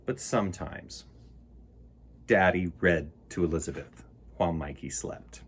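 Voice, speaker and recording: A man's voice: sits low, measured pace, slight background noise. A man reading a children's picture book aloud with playful, theatrical emphasis and casual asides. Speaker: children's storytime reader.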